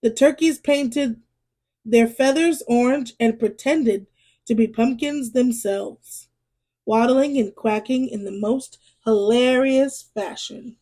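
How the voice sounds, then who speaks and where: A woman reading a children's storybook aloud in an expressive way, as a storyteller speaking to young listeners. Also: fairly high pitch, takes it slowly. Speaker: storyteller and read-aloud host.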